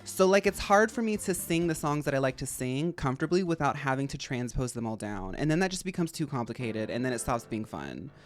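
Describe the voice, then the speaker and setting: A man with a high, feminine-sounding voice, chatting casually and rambling to his live-stream audience while he plays. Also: big pitch swings, brisk, hardly any pauses, soft background music. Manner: rambling, chatty, addresses chat as y'all. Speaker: game streamer.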